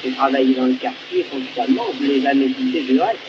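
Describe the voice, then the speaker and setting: A man's voice: medium pitch, fairly even intonation, a little fast. A man from Paris with a Parisian accent and a drawling voice, chatting as an interviewee on a muffled, noisy early recording. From Paris, France. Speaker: interviewee, upholsterer. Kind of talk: informal interview conversation.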